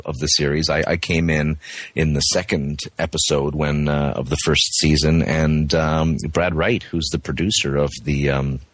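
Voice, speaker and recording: Brief: deep-voiced man guest on a call, friendly, halting speech, dry sound. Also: a bit bright, dry recording. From Canada, West Coast. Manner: halting, many restarts, friendly. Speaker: actor, interview guest. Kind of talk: casual interview over the phone. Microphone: remote call.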